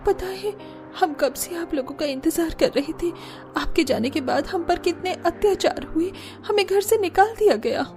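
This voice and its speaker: Woman acting a high-voiced, plaintive young wife. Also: expressive, normal speed, dark tone, faint music behind. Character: hard-pressed, aggrieved young village wife and daughter-in-law.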